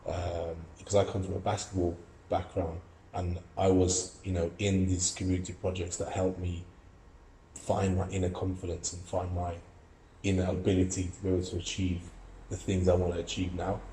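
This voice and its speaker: A man's voice: low-pitched, monotone, moderate pace, dark tone, roomy sound, slight background noise, low-quality recording. A young man from Russia, an amateur boxer and student, giving earnest, reflective interview answers with many fillers and restarts. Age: young adult.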